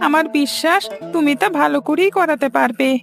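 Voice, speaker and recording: A stubborn cartoon schoolboy, has an extremely high voice with a gravelly creak. He pleads in a whiny, insistent way. Music plays behind him. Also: brisk. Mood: sulky, pleading. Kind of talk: cartoon voice acting.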